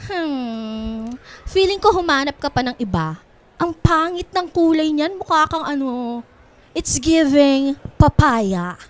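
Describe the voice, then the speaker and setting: A woman's voice: high-pitched, lively intonation, slow. A woman with a Filipino accent playing a playful, self-conscious young best friend in a radio drama, girlish and whiny as she teases. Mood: teasing.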